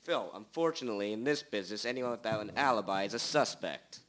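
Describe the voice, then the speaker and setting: A man's voice: fast, a bit bright, close to an everyday voice. A young-sounding amateur actor, a man playing an impatient, self-important private detective with formal, theatrical delivery in a radio drama. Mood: pressing. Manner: formal, theatrical interrogating, condescending to sidekick. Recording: low-quality amateur recording.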